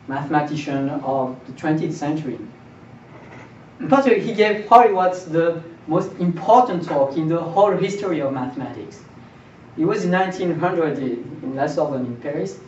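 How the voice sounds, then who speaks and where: A man's voice: a little high, moderate pace, a little mellow. A young man giving an informal seminar lecture, putting questions to his listeners as he explains. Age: young adult. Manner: rhetorical questions, checks in with listeners. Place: small indoor seminar room. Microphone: far camera. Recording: distant roomy mic, dull, faint noise.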